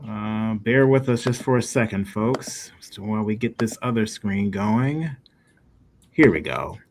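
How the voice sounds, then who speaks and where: A man's voice: lowish voice, a little slow, a little mellow. A man hosting a casual livestream interview, talking informally with his guests. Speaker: podcast host and interviewer. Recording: noticeable background noise.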